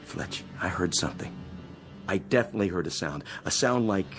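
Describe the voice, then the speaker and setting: An actor playing an edgy, evasive spaceship co-pilot in a sci-fi radio drama, speaking in terse, guarded phrases. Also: male voice, low-pitched, animated, fairly quick, music in the background. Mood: urgent.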